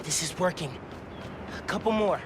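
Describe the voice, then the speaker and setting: A young man plays an earnest teenage superhero who mutters his plans to himself as he works. His voice runs high, with loud game music underneath. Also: moderate pace, close to an everyday voice. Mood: focused. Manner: earnest, determined, talks to himself while working. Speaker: game character. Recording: game soundtrack music behind.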